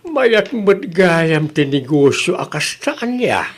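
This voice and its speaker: A curious old father from a village comedy drama, acted by a man. He talks slowly and fondly with an Ilocano accent, his pitch rising and falling in a very animated way. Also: heavily acted. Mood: curious, amused.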